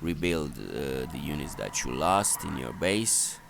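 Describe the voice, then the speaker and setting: A man giving halting, matter-of-fact, instructional commentary on a video game strategy. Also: a bass voice, sing-song, gravelly, background noise.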